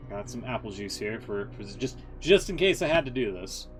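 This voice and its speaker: A man's voice: mid-range voice, very animated, a little slow, soft background music. A man chatting casually as he livestreams a game, muttering wry, sweary asides. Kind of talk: casual stream chat and improvised reading aloud.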